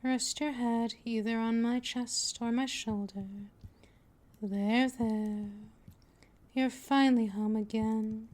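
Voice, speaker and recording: A woman's voice: fairly high pitch, a little mellow. A woman playing a patient, possessive vampire mistress in a scripted ASMR roleplay, speaking in a coaxing, soothing, dominant manner that often drifts toward a whisper.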